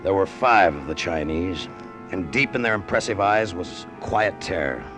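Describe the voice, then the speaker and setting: A man's voice: low voice, expressive, normal speed. An American man playing a haunted, brooding cargo pilot and narrating his story in old-time radio drama style. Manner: clipped, brooding, hard-boiled. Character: tense, haunted hard-boiled cargo pilot, greedy and brooding. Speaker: actor narrating in character. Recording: vintage radio drama with music underneath.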